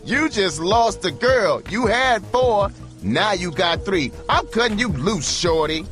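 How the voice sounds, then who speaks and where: A man voicing a desperate young female street hustler who talks loud and frantic. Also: on the high side, expressive, a little slow, pitched above the performer's own voice. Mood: screaming. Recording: music bed behind the voice.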